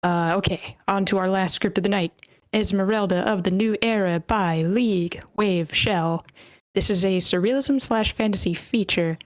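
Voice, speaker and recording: A woman's voice: medium pitch, moderate pace, no room sound. A woman casually hosting an online script table read, with a playful manner.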